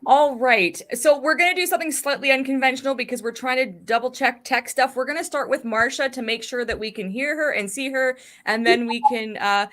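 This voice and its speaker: Female panel host, higher-pitched, casual live hosting style. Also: fairly quick, hardly any pauses, a bit bright.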